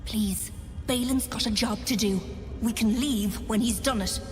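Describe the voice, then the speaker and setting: A woman's voice: fairly high pitch, a little slow, natural voice. Woman as a blunt, bitter old wife, reverberant. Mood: scornful, brisk. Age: older. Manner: blunt, scornful. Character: bitter, sardonic old wife, shrewd trader. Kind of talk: video game voice acting. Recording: long reverb, music behind.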